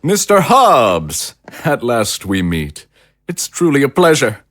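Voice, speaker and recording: An actor playing a suave, self-satisfied political leader in an audio drama, with pompous, elaborately polite diction. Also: male voice, mid-range voice, huge pitch swings, slow, fairly bright tone, full character voice. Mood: grandly welcoming. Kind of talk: theatrical audio drama acting.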